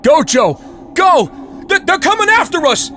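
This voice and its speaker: A terrified motorist screams at his friend to flee, his voice climbing high and cracking with panic, as a male narrator acts him out. He yells in frantic bursts. Music runs underneath this old-time radio style horror story. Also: big pitch swings, a little slow, exaggerated voice. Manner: frantic shouting.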